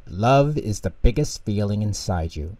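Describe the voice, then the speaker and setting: A man's voice: on the low side, lively intonation, unhurried, warm tone, no room sound. A man voicing a big, kindly male bear in a children's storybook, patient, reassuring and affectionate. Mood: gentle, explaining. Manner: reassuring, thoughtful, explanatory. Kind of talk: children's storybook character reading.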